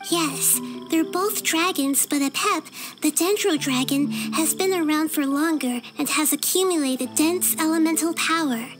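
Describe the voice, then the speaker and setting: A very high, childlike girl deity from a video game. She sounds wise and caring, speaking in thoughtful, articulate sentences, with faint music underneath. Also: unhurried, few pauses.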